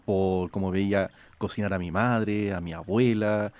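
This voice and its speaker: A man's voice: measured pace, clear, resonant tone, no room sound. A man, a chef and food scientist, talking. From Chile. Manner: lively storyteller, rises in pitch when quoting. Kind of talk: conversational interview. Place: outdoor garden.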